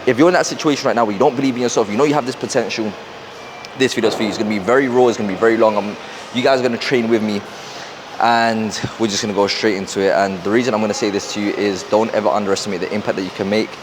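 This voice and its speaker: A young man with a London accent, talking fast and rambling to camera in a casual motivational vlog, wearing a clip-on mic in a gym. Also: fairly low pitch, fairly even intonation, noisy background. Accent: London English. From South London, England. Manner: fast, rambling, repeats himself. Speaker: fitness and mindset coach. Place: busy gym.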